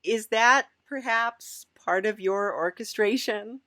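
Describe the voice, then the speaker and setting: A woman hosting an improvised comic interview, speaking in a hesitant, tentative way with many halting starts. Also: mid-range voice, bright, forceful tone, no room sound, studio quality. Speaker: podcast host.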